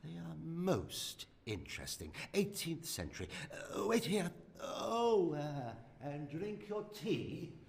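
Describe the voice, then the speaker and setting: An actor playing an eccentric, sinister male scientist in a radio play, with a halting, polite manner. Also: on the high side, very animated, gravelly, full character voice. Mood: hesitant, sly. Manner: hesitant, halting politeness with many stammers. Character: eccentric sinister male scientist, hesitant host turning coldly clinical. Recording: radio drama recording.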